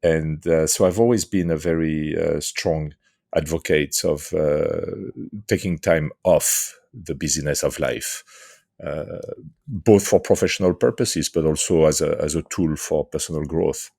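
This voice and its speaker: Deep gravelly French-accented man. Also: a little slow, warm tone, dry recording, clean studio sound. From France. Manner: hesitant, many fillers and restarts. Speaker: guest, author and leadership coach. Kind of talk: thoughtful interview answers.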